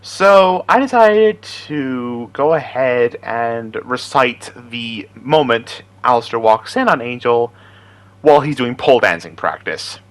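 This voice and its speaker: A man's voice: mid-range voice, animated, slow, fairly bright tone, no echo. A man hosting as an amateur voice impressionist, chatting casually to viewers in a rambling, self-deprecating way. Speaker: amateur voice impressionist and video host.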